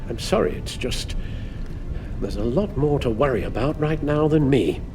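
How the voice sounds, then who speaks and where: A man's voice: expressive, normal speed, natural voice. An actor playing an ailing, stoic older servant in a video game, speaking formally and evasively as he plays down trouble. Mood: defensive, weary. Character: ailing elderly male servant, stoic, self-effacing. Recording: clear rumbling background noise.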